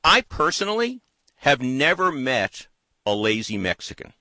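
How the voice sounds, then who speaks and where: Lively talkative man, dry close sound. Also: medium pitch, unhurried, no room sound. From United States. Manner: thoughtful, animated, talks in long winding runs. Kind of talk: casual political chat.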